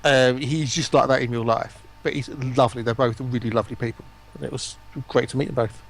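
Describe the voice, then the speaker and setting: A man chatting casually as a livestream guest, animated and chatty. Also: medium pitch, fairly quick, vocal fry. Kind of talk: casual banter.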